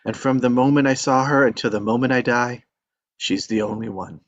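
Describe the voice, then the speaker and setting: An actor playing a gentle, devoted married man, sincere and apologetic but firm, in a table read. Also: medium pitch, a little fast, lo-fi sound, close to an everyday voice. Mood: tender, apologetic.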